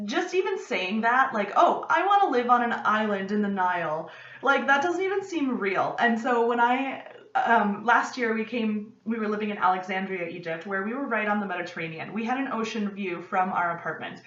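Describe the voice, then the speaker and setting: A young American woman hosting her podcast, chatting excitedly to camera from her home study and rambling into asides. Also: mid-range voice, moderate pace. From United States. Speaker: podcast host. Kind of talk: casual chat to camera. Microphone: camera at desk distance. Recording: roomy, slightly distant, dull lo-fi.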